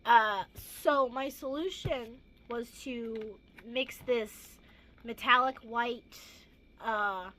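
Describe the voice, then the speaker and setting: A teenage girl with a hoarse, gravelly voice, chatting casually and rambling through a crafting vlog with self-deprecating asides. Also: fairly high pitch, drawn-out words, sharp tone, a little hiss or hum. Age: teens. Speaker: craft vlogger.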